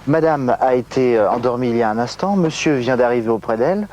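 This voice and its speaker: A man with a French accent from France presents a nature documentary outdoors, explaining things in a measured way with hesitant pauses. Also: medium pitch, darkish tone, lo-fi sound, slight background noise. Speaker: television presenter. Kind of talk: live-style documentary presenting, on location. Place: outdoors in African savanna.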